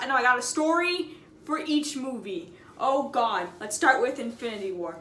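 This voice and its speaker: A young woman telling a casual story in an expressive, slangy way, with dramatic emphasis and repetition. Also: fairly high pitch. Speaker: storytime video host. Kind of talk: casual animated storytelling vlog. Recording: echoey room with audible background noise.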